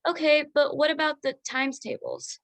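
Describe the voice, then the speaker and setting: A woman playing a stressed, defensive doctor mother in a staged drama reading, with a forceful, insistent manner. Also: high voice, little pitch movement, normal speed, no echo. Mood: confused, pressing.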